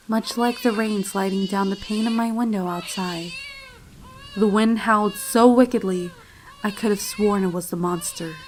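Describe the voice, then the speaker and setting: A woman's voice: medium pitch, lightly acted. Woman as a frightened pony filly over noisy effects. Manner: solemn, dramatic, emotive delivery. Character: frightened little pony filly, tearful and trembling. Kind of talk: first-person dramatic fanfiction horror narration. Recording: clear noise and sound effects behind. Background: storm and animal sound effects, noise.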